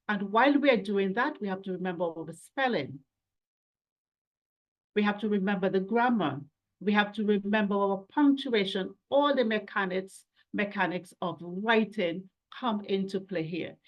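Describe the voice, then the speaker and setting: A woman presenting a webinar as an educator, explaining in a didactic way with rhetorical questions and step-by-step lists. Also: medium pitch.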